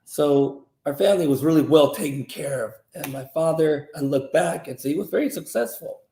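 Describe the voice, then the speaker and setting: A man in his fifties, originally from South Korea, tells his story as a podcast guest. His voice sits slightly high with a gravelly, mellow tone, and it echoes around the room. He rambles, restarting sentences and filling pauses often. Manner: rambling, many fillers and restarts. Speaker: martial arts grandmaster guest. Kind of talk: storytelling interview answers. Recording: roomy echo.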